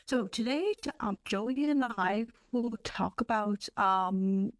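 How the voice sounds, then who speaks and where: A woman whose first language is Cantonese, presenting a library webinar in a measured way with frequent fillers. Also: medium pitch. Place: online webinar.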